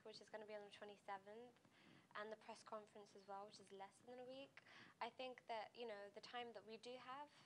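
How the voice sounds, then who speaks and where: A woman's voice: mid-range voice, steady tone, fast, a little hiss or hum, poor audio. A young woman, a pageant finalist, answering interview questions in a bubbly, giggly way with many "you know"s. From United Kingdom. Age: young adult.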